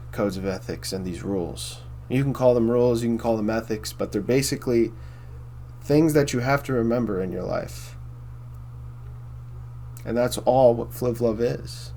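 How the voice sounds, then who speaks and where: A man with a baritone voice hosting a casual philosophy monologue, rambling and repeating himself with many fillers. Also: measured pace, warm tone, slight background noise. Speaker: host.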